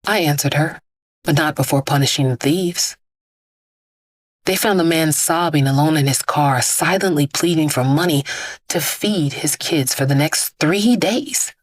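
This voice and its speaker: A woman playing an immortal vigilante bag lady, a grieving mother, in a deliberate, solemn audio drama monologue. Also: deep voice, no echo. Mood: grim, solemn. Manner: deliberate, solemn, incantatory storytelling with repeated phrases.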